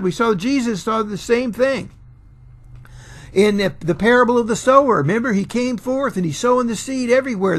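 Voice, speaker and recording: An older man, a pastor in his sixties or older, preaching a sermon with emphatic, deliberate pauses and repeated phrases in a gravelly, husky voice. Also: high voice, fairly bright tone. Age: 60s or older. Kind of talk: sermon, expounding scripture to camera. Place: small furnished room at home, indoors.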